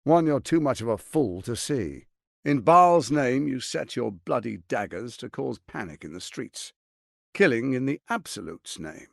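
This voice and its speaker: A smug villain lord for a game, slow creaky delivery, pompous and menacing. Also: male voice, mid-range voice, very animated, no echo, clean studio sound. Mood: scornful. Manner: pompous, silky, menacing, theatrically emphatic. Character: scheming, silver-tongued tyrant lord, smug and theatrical. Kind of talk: dramatic video game voice acting.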